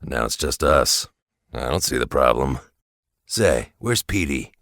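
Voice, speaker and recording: Very deep, sardonic gruff tough guy played by a man. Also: expressive, measured pace, fairly bright tone, no room sound, heavily acted. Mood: dry, unimpressed. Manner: terse, dry, sarcastic. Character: gruff, sardonic male mutant teammate, unimpressed by newcomers. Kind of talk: audio drama acting.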